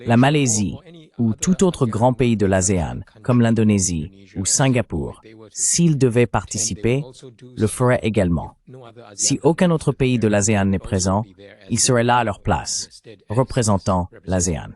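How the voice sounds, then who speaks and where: A man's voice: lowish voice, animated, unhurried, darkish tone. A man delivering a French voice-over translation of an interview, speaking as an interpreter for the participants.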